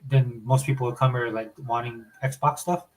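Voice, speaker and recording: A man's voice: medium pitch, level tone, moderate pace, a little mellow, rough recording. A man hosting a casual podcast chat, rambling with many fillers and restarts. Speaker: podcast host.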